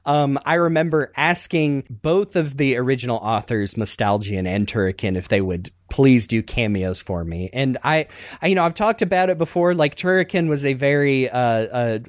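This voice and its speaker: Man, Southern US accent, podcast host, rambling casual chat, effusive, mid-pitched, very dry recording. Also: normal speed, no echo. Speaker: podcast host and amateur voice actor.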